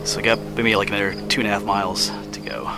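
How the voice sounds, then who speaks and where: A man from Pennsylvania narrating his hike in a relaxed, chatty way, recorded outdoors on a camera. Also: on the low side, fast talker, gravelly. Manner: relaxed, chatty, pointing things out. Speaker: hiking vlogger. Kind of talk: casual narration while hiking. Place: outdoors on a wooded mountain trail. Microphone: handheld action camera. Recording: outdoor noise, insects and wind.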